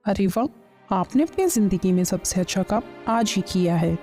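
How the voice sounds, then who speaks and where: A woman's voice: medium pitch, lively intonation, normal speed, mellow, lightly acted. A woman playing a greedy, scheming village farmer's wife in a kids' cartoon, with a nagging, commanding manner. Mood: gleeful scheming. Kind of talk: Hindi kids' cartoon story dialogue. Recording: music under the voice.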